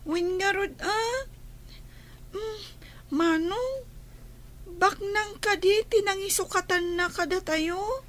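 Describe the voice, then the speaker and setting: A woman's voice: very high voice, slow pace, long pauses, a bit bright, heavily acted. A woman acting a plaintive young child who misses a parent, asking questions in a radio drama. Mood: plaintive, wondering.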